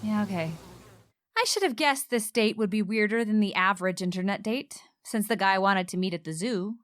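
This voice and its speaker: A woman's voice: mid-range voice, moderate pace, a bit bright, natural voice. An actress playing a wry, exasperated single woman homeowner, narrating and acting out her romantic mishaps in a radio play.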